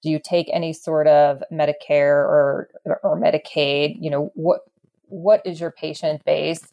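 A woman guest explaining real estate matters in a conversational interview, thoughtful and halting as she works through her points. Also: deep voice, fairly even intonation, highs cut off.

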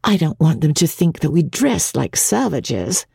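Female narrator as a young society wife, prim, fretful, earnest, husky mellow tone, animated pitch, literary audiobook. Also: measured pace, no room sound, clean studio sound, full character voice. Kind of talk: dramatic audiobook reading.

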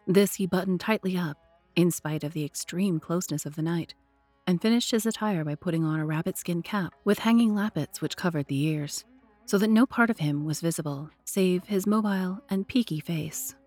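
A woman reads scripted narration for a classic mystery audio drama. Her voice is on the low side for a woman, and her storytelling is measured and literary. The recording is very dry. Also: darkish tone, dry recording, soft background music.

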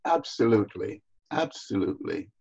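A man's voice: on the low side, very animated, normal speed, creaky, darkish tone, husky. An older man from Barbados, reminiscing as an interview guest, repeating phrases for emphasis, on a muffled recording. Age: older, over 60. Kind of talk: reminiscing in conversation.

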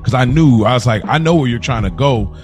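A man's voice: mid-range voice, animated, fairly quick. A man co-hosting a podcast, explaining his views in casual conversation with emphatic, repeated phrasing. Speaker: podcast co-host. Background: background music.

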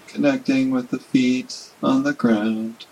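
Guy leading a meditation, really slow and gentle, lots of pauses, normal mid pitch. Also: fairly even intonation, low-quality recording. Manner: gentle, unhurried, with long pauses. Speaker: meditation guide. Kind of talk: guided body scan meditation.

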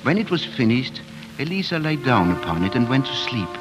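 A man's voice: mid-range voice, big pitch swings, fairly quick, rough recording. A man narrating a storybook tale in a lyrical, dramatic 1940s radio style. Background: music.

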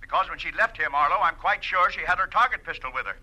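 A man's voice: sits high, lively intonation, fast talker, over music. A man playing an agitated, insistent man of authority in a 1940s radio drama, urgent and commanding. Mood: urgent, worried. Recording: dull, thin old radio sound.

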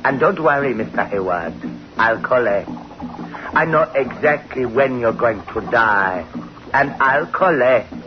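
A man playing a sinister witch doctor in an old radio horror drama, measured, ominous and menacing. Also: mid-range voice, expressive, close to an everyday voice. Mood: menacing, calm. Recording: muffled old radio recording over music.

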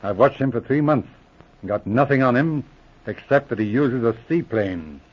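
An actor playing a calm, methodical senior police inspector in 1940s radio drama, explaining things with measured authority. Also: male voice, mid-range voice, breathy edge. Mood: methodical, confiding. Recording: dull, muffled old radio recording.

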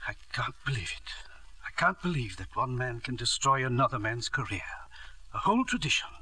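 Formal old-school headmaster, husky voice, lively pitch, radio play. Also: male voice, fairly quick, no echo, heavily acted. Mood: stiff, pleading. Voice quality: formal and stiff, growing distraught. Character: proud, principled school headmaster, middle-aged man. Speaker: headmaster character. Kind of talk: 1940s radio acting.

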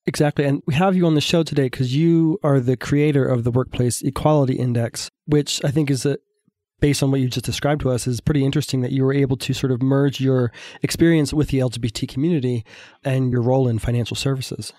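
A male co-host welcomes the guest and asks friendly questions at a quick clip. His tone is mellow and warm, and the recording is dry and close. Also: medium pitch, fairly even intonation, no room sound. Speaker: podcast co-host. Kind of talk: interview questions.

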